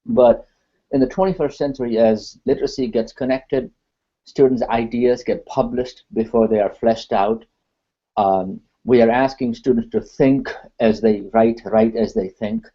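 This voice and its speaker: Mellow man, earnest, stacks his points in lists, muffled call audio. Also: medium pitch, fairly even intonation, unhurried. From Nepal. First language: Nepali. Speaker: discussion participant. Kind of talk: online video call discussion. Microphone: video call.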